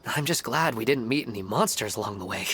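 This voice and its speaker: An actor playing a kind, earnest father and husband in a video game, gentle and sincere. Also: lowish voice, lively intonation, fast, slight background noise. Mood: friendly, apologetic. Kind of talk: animated video game voice acting.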